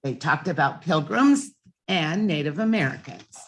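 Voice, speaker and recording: Lady reading kids a bedtime story, slow and really expressive, voice on the low side. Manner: expressive, emphatic reading with deliberate pauses. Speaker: storyteller reading a children's book aloud. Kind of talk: bedtime story read-aloud for children.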